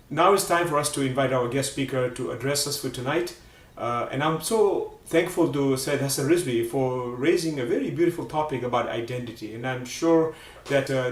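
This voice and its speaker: A man hosting a live religious program, speaking haltingly with many fillers. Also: medium pitch. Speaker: program host. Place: Seattle area. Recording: roomy, distant mic.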